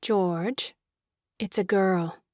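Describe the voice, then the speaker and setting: A woman playing a gentle, fond young sister in a comic stage farce, with a coaxing, soft-hearted manner. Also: mid-range voice, fairly even intonation, extremely slow, dry recording, clean studio sound. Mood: gently coaxing.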